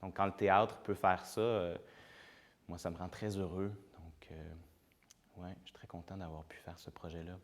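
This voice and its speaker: Guy, really low voice, thinks out loud with lots of euh. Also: level tone, normal speed. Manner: hesitant, reflective, many fillers. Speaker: playwright. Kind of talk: interview.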